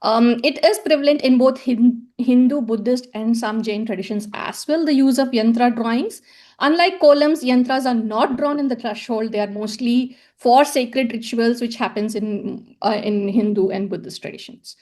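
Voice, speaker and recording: A woman's voice: a little high, normal speed, a little mellow. A woman from South India, giving an academic presentation with slides, hesitant and full of fillers and repeated words. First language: Tamil. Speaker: conference presenter.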